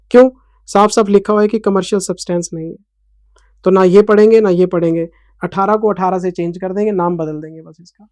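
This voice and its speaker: A man's voice: high-pitched, mellow, no room sound. A man in his forties, an accounting teacher giving a revision lecture in a passionate, scolding then explanatory manner, with an Indian English accent. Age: 40s.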